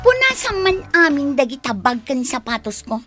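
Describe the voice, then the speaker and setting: A spoiled stepdaughter in a radio drama, played by a woman barks orders in a high, bright voice. Her pitch leaps about as she whines and commands. She sounds sharp and entitled. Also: big pitch swings, normal speed, soft background music. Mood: bossy. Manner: bossy, whiny commands.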